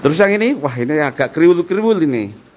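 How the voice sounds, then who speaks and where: A man's voice: fairly high pitch, animated, fast talker, a crowd in the background. Chatty man touring a cattle barn. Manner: chatty, asks short questions and repeats answers back. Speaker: vlogger touring a cattle farm. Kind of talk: casual walk-through vlog with interview. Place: outdoor open-sided cattle barn.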